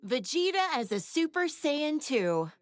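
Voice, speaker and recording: A woman voicing a brash, sassy, doting wife and mother in an anime video game, teasing and expressive. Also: sits high, a little slow, no room sound, clean studio sound. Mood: playful, chatty. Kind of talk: scripted anime game voice acting.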